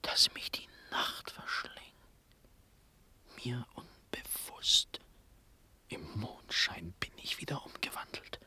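An actor playing a dazed, dreamy young Prussian prince in a verse radio drama, fervent and halting, with breathy speech drifting into whispers. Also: male voice, medium pitch, huge pitch swings, pushed and bright, heavily acted. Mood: dreamy, searching. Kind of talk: classical German stage verse radio drama.

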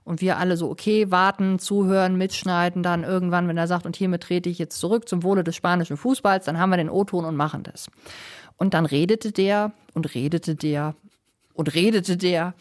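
A woman's voice: fairly low pitch, a little fast. A woman working as a foreign correspondent, telling things in a chatty, colloquial interview style full of acted-out asides. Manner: chatty, colloquial, animated storytelling with quoted asides. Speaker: correspondent in Spain. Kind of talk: casual conversational interview report.

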